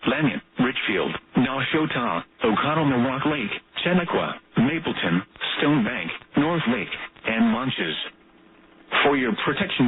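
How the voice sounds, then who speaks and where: A synthetic-sounding man reading formal emergency weather alerts in a flat, measured way over a tinny radio broadcast. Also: mid-range voice. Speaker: automated weather radio announcer.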